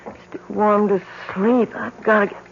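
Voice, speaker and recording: A woman's voice: medium pitch, steady tone, normal speed, creaky, natural voice. An actress playing an anguished, stubborn young woman grieving her sister in a radio mystery drama, with an emotional delivery. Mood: weary. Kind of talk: old-time radio mystery drama acting. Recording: dull, noisy old radio recording.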